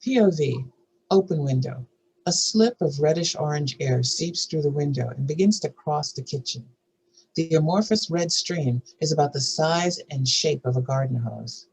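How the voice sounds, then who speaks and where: A husky-voiced narrator reads screenplay action lines at a table read. The delivery is scripted and descriptive, with a mid-range pitch and a rough edge. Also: lively intonation, normal speed, darkish tone, rough recording. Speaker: narrator reading stage directions.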